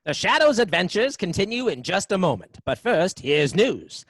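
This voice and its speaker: An actor playing an earnest, polished 1930s radio pitchman delivering an emphatic advertisement. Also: very animated, normal speed, no room sound, soft background music. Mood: salesmanlike. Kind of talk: old-time radio advertisement.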